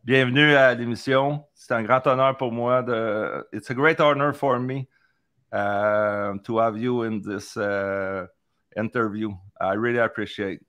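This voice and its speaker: A man hosting an interview, speaking slowly and hesitantly with a Québécois French accent, switching between French and English. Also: medium pitch, steady tone, a bit bright. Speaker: host, columnist.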